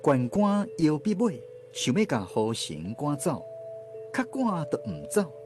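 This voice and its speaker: An actor playing a theatrical storyteller, narrating an old folk tale in a radio drama. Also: male voice, on the high side, sing-song, unhurried, over music. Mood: dramatic, suspenseful.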